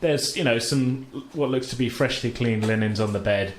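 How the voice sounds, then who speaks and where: A man's voice: medium pitch, moderate pace. A man casually narrating a tabletop role-playing game as game master, in an easygoing, informal way. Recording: echoey room, distant mic, background noise.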